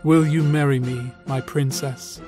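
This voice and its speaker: Guy doing stately royal man, formal, mid pitch, cartoon fairy tale, bit of music behind. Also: steady tone, unhurried, natural voice. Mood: tender.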